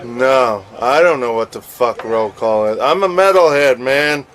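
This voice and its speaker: A rowdy man making crude, belligerent declarations in a shouting style. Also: medium pitch, unhurried. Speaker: interviewee, metal fan. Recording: crowd noise behind.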